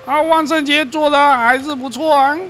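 A man's voice: very high voice, fairly even intonation, moderate pace, a bit bright, soft background music. A man hosting a casual screen-recorded game video, rambling, often correcting himself, and sounding mildly exasperated. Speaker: gaming and crypto-mining video host. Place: voice-over on his computer screen recording.

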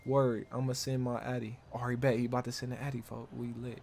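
Male American streamer, casual street talk, repeats himself, ordinary pitch, slightly noisy game audio. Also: little pitch movement, fast talker, gravelly, a little mellow. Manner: slangy, repeats phrases. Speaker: streamer roleplaying in an online crime game. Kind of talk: casual in-character street chat. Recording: noisy gaming voice chat.

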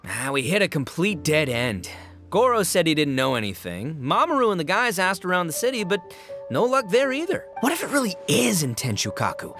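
A loud, cocky young oni who brags like a rock star. His voice leaps up and down as he shouts and teases. Game music sits clearly behind him. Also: high-pitched, huge pitch swings, brisk, full character voice. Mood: boisterous. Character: brash young horned oni man, boastful rocker. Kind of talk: video game voice acting.

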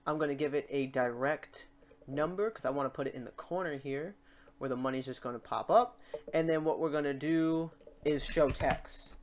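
A man hosting a casual software tutorial, informal and halting as he thinks aloud. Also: a little high, a little hiss or hum. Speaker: tutorial host. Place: indoors at a computer, keyboard typing behind.

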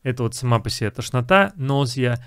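A man's voice: mid-range voice, animated, fairly quick, noisy background. A young man, an English teacher, casually explaining film lines and repeating English phrases.

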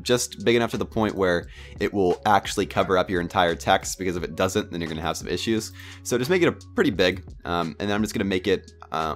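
A low-voiced young man with a stuffed-up, nasal sound, as if fighting a cold. He walks through a screen tutorial casually, rambling and filling pauses with ums and uhs, while soft music plays faintly underneath. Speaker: tutorial host.

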